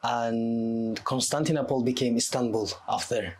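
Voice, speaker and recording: A man's voice: medium pitch, fairly even intonation, measured pace, a little mellow. A man in his twenties from Turkey with a Turkish accent, speaking English as a podcast guest in a conversational way. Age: 20s. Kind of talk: conversational interview answers.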